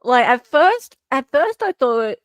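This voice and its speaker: Animated, higher-voiced woman streamer. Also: slow, no room sound. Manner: expressive, emphatic. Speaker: host of a live stream. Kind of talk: casual chat and reaction.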